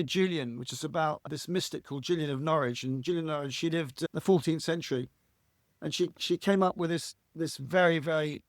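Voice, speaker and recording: A man with a husky voice, a podcast guest talking reflectively and earnestly, often restarting his phrases. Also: a little high, no room sound, studio quality. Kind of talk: reflective conversational interview.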